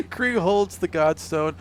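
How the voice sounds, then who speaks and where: A man running a tabletop fantasy game, narrating and bantering casually in a loose, halting way, quick to laugh. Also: high-pitched, expressive, slight background noise. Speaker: game master. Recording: music clearly heard behind the voice. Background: background music.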